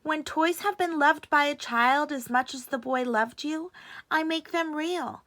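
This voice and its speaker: Gentle storybook fairy, stately and soothing, high bright tone played by a female reader. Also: a little slow. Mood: gentle, reassuring. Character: gentle, beautiful female nursery magic fairy.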